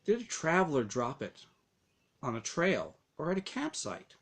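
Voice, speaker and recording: A man reading his own first-person story aloud as narration, with dramatic, deliberate phrasing. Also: on the high side, huge pitch swings.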